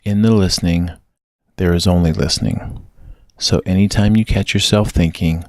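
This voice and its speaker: A man narrating a guided meditation with measured, gentle instructions. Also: low voice, steady tone, dry recording, studio quality.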